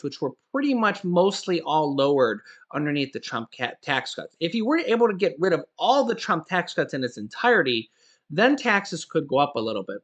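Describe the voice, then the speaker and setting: A man's voice: fairly high pitch, sharp tone, dull audio. A man in his forties giving a casual, emphatic commentary on finance news, often stopping to ask "okay?" and correcting himself as he goes. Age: 40s. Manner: emphatic, repeats "okay?" to drive points home; frequent restarts and self-corrections. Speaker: finance YouTuber.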